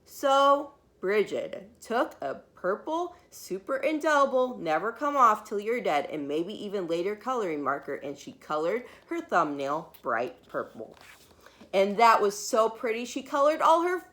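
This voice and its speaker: Bright, animated woman reading a storybook. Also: mid-range voice. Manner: expressive, emphatic, with dramatic pauses and asides. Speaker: preschool teacher reading a children's book aloud. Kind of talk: animated read-aloud storytelling for young children.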